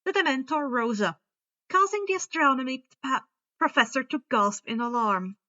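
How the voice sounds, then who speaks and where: High, bright-voiced woman reading a story. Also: expressive, moderate pace, dull audio. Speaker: amateur story narrator. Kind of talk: expressive reading of fan fiction aloud.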